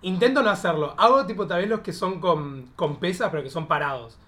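High-voiced, fast-talking Argentine man. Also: a bit bright. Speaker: drag queen guest. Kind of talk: casual chat.

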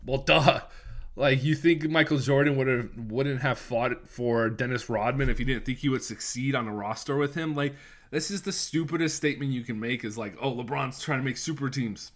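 A young man hosting his own sports podcast while fighting a cold, so his voice sounds stuffy and congested. He talks casually and rambles, restarting sentences and throwing out strong opinions. His pitch sits in an ordinary middle range for a man. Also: normal speed. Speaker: podcast host.

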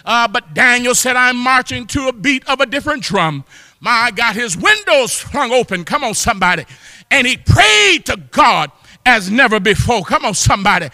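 A man preaching a fervent church sermon, exhorting with repeated exclamations of praise and often rising into shouts. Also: very high voice, lively intonation, normal speed. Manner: impassioned, often breaking into shouts. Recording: long hall reverb.

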